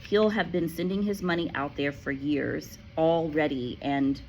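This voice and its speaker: A woman voicing a gentle, kindly schoolteacher who speaks quietly and calmly. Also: deep voice, slight background noise, rough recording. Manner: quiet, calm and measured.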